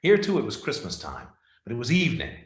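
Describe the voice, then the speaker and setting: A man's voice: medium pitch, huge pitch swings, quick pace. A man playing the narrator of a Christmas tale, reading scripted narration in a radio play. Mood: narrating. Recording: roomy, echoing voice.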